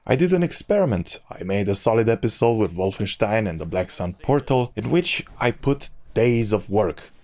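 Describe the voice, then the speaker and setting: A man's voice: on the low side, sing-song, moderate pace, hardly any pauses, darkish tone. A man speaking candidly and with opinions, in a personal vlog monologue to his subscribers as a YouTuber. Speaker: YouTuber who makes game videos.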